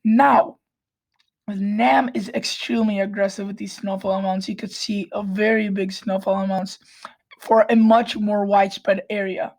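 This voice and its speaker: Hoarse woman with a cold, flat delivery. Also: medium pitch, moderate pace, low-quality recording. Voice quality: raspy. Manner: frequent restarts and throat clearing. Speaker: weather vlogger. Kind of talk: casual weather forecast walkthrough.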